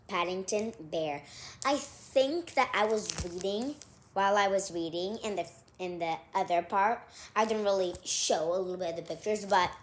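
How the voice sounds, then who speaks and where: A woman's voice: mid-range voice, fairly bright tone, slight background noise. A woman reading a children's story aloud expressively, with casual, chatty asides to her listeners. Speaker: storybook reader.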